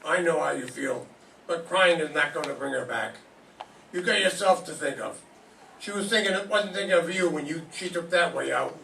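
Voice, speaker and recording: An older man playing a cold, smooth-talking gangster in a radio drama, coaxing and menacing. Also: on the high side, moderate pace, bright, forceful tone. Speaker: amateur radio drama performer. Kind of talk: live reading of a 1940s radio drama. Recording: echoey room, distant mic, faint noise.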